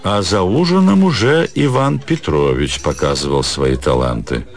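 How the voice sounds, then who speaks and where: A man's voice: low voice, normal speed, faint music behind. A man narrating a classic literary story as an actor in a radio production.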